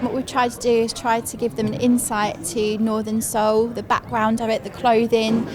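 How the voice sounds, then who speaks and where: A woman's voice: on the high side, little pitch movement, normal speed. A woman giving a casual interview as a dance workshop leader. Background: crowd chatter.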